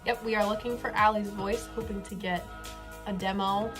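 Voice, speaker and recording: A woman speaking slowly and encouragingly. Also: mid-range voice, fairly even intonation, over music.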